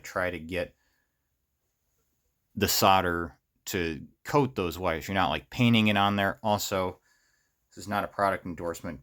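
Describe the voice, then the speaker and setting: Low-voiced American man, halting hands-on tutorial. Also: fairly bright tone. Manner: halting, rambling, talks to himself while working. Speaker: host of a hobby electronics how-to series. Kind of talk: casual unscripted hands-on tutorial, thinking aloud.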